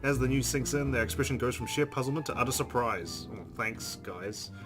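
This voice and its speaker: A man's voice: medium pitch, fairly quick, over music. A man reading visual novel narration aloud as a let's play streamer, with casual asides.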